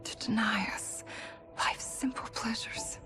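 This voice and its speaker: Actress as a hushed, wistful warrior queen. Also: slow, in a whisper, over music, lightly acted. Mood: wistful, tender. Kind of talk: video game cutscene acting.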